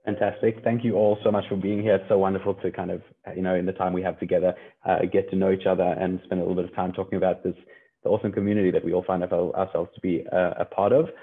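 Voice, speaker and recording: A man's voice: deep voice, little pitch movement, quick pace, husky. A South African man hosting an online panel, speaking in a friendly way with loose phrasing and fillers. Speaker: panel host. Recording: tinny phone-like line.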